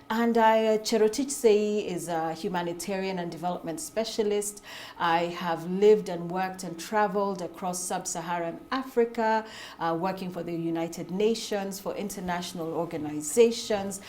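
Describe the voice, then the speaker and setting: Woman, forties, Kenyan accent, low voice, articulate, interview guest, faint background noise. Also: darkish tone. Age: 40s. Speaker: Senate aspirant, guest. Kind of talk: interview answers.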